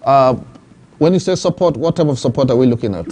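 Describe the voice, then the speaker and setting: A man's voice: medium pitch, expressive, fast talker, darkish tone. A man hosting a studio TV interview, asking formal, leading questions with fillers. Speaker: TV talk show host.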